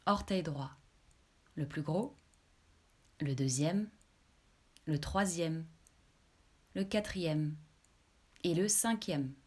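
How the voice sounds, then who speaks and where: Slow, low-pitched woman guiding relaxation. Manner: calm, measured instructions. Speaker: yoga nidra instructor. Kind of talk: guided relaxation meditation.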